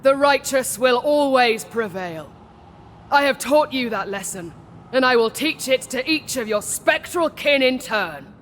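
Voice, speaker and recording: An actress playing a righteous, defiant warrior commander in a video game cutscene, solemn and declamatory. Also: female voice, high voice, animated, measured pace, a bit bright, in a large hall, slight background noise.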